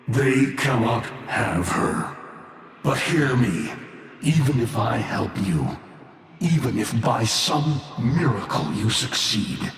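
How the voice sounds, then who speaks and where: Ancient, echoing machine warlord, very slow. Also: male voice, mid-range voice. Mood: weary, solemn. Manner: slow, grandiose, theatrical, sardonic. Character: ancient, bitter, menacing sentient machine warlord, male. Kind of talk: scripted video game villain monologue. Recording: cavernous echo, long reverb tail.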